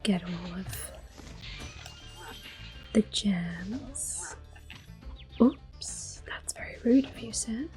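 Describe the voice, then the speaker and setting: A woman hosts an ASMR playthrough in a soft, breathy half-whisper. She gently talks through each move at a slow, unhurried pace. Faint game music plays behind her voice. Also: mid-range voice, animated. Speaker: game streamer, host. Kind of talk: ASMR gameplay commentary.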